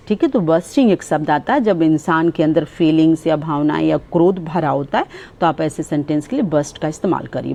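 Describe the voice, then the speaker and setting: Low, mellow Indian woman teaching English. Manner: explains in Hindi, then says English phrases slowly and clearly. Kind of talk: spoken English lesson.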